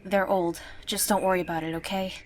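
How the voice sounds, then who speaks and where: A woman's voice: fairly low pitch, little pitch movement, brisk, darkish tone, natural voice. A woman playing a guarded, irritable young survivor in a zombie apocalypse audio drama, with a blunt, curt way of talking. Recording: slightly noisy amateur recording with ambience.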